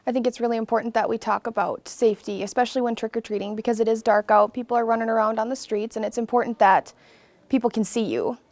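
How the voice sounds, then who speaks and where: A woman's voice: fairly high pitch, steady tone, fast talker, darkish tone, no room sound. A young adult woman, a police officer, answering interview questions casually with fillers.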